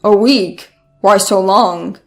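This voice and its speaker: A woman's voice: medium pitch, slow pace, soft background music, pitched below the performer's own voice. A woman voicing a mocking, domineering husband in a storytime narration, sneering and dismissive. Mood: scornful disbelief. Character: mocking, domineering, contemptuous husband, adult man. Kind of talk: storytime audio drama dialogue.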